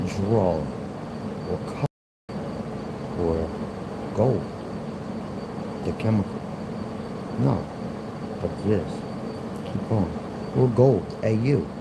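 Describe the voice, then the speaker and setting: A man in his forties with a Philadelphia accent talks in a deep, unhurried voice. He rambles and circles back, arguing his points over and over. A loud air conditioner hum sits under the voice. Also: creaky. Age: 40s. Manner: rambling, repetitive, argumentative. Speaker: livestream host. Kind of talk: rambling livestream monologue.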